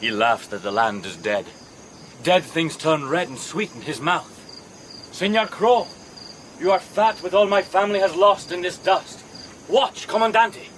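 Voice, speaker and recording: A high-pitched, wild young Spanish heir in a radio play. He is childlike and singsong, dreamy yet cruel and excitable. Faint outdoor insect sound lies behind the voice. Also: a little slow, slight background noise. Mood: sly, dreamy. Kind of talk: radio drama acting.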